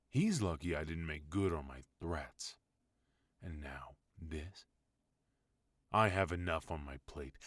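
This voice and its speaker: A man playing a commanding mafia boss husband in an ASMR audio roleplay, speaking with cold, measured menace. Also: a bass voice, no room sound, studio quality, heavily acted.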